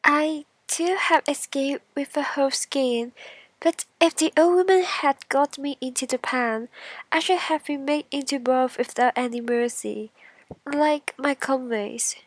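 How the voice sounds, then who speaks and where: A sensible, friendly little bean from a children's tale, as a woman storyteller plays it. The voice is fairly high and slow, with an earnest, deliberate manner. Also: no echo. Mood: relieved, dramatic.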